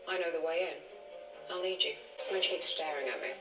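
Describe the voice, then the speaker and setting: A woman's voice: low-pitched, steady tone, quick pace, over music, lightly acted. An actress playing a bold, sardonic, scheming court noblewoman in a period TV drama. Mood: urgent, commanding. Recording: echoey TV audio with score.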